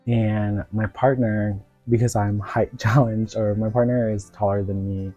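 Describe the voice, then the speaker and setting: Mellow-voiced man with a cold. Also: on the low side, flat delivery, measured pace, dry recording, dull audio, soft background music. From United States. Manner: rambling, many filler words. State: sick with a cold. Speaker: doll reviewer. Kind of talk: casual vlog chat.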